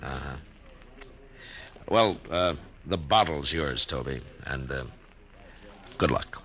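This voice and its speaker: A man playing a steady, laconic frontier marshal in an old radio western, slow and hesitant with many pauses. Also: a bass voice, heavily acted. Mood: probing. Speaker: narrator and lead character. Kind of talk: 1950s radio western acting.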